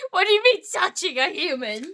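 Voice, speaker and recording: A woman's voice: very high-pitched, sing-song, sharp tone. A woman hosting a show, joking and teasing in casual chat, often breaking into giggles. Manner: giggly, teasing, deliberately jokey. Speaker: show host.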